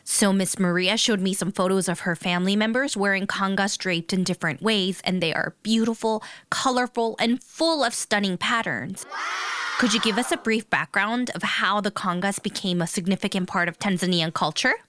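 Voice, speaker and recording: A woman's voice: mid-range voice, moderate pace, fairly bright tone, dry recording, clean studio sound. A woman hosting a children's podcast, reading listener reviews and introducing a guest. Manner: upbeat, reads out listener messages. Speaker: podcast host. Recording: music bed underneath.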